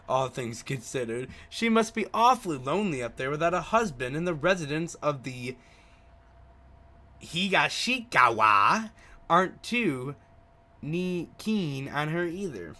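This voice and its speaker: A man voices a chatty male driver from a visual novel in a bright, lively voice. He is gossipy, a bit sheepish and rambling, and he trips over the odd word. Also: fairly high pitch, unhurried, exaggerated voice. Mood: sympathetic, confiding. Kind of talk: reading visual novel dialogue aloud.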